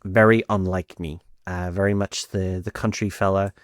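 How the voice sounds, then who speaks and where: Northern irish guy chatting through a personal story, lowish voice, dry close sound, does the quotes. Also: little pitch movement, moderate pace, no room sound. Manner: conversational, acts out quoted dialogue. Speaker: host telling a personal dating story. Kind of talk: casual anecdotal storytelling.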